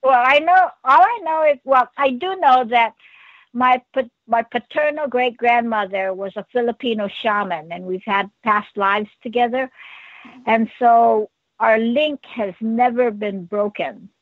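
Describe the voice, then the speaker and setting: Woman from Hawaii, caller, earnest measured speech, higher voice, dull dry phone line. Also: dry recording. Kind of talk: call-in conversation. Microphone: phone or call-in line with earpiece.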